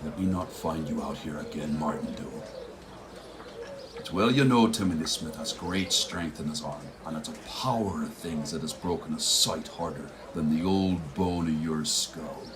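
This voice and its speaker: An actor playing a burly, gruff, jealous Irish village blacksmith in a radio play, blunt and menacing. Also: male voice, rumbling low, moderate pace, background noise. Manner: menacing, blunt dialect speech. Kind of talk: theatrical radio play acting.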